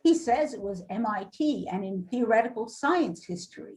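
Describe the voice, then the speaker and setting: A woman's voice: fairly high pitch, expressive, moderate pace, darkish tone, natural voice. A woman playing a defensive, businesslike school administrator in an amateur readers theater reading.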